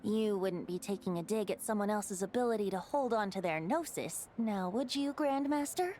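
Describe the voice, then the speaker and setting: A woman's voice: medium pitch, normal speed, dark tone, a little hiss or hum, close to an everyday voice. A woman voicing a carefree young bard deity in a video game, with a playful, wry, theatrical delivery. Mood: wry teasing. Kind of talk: scripted video game voice acting.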